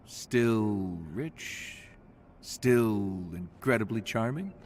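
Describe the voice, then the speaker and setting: An actor playing a cocky, flirtatious rich playboy in a video game, smug and teasing. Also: male voice, lowish voice, expressive, very slow, darkish tone, slight background noise. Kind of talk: video game character dialogue.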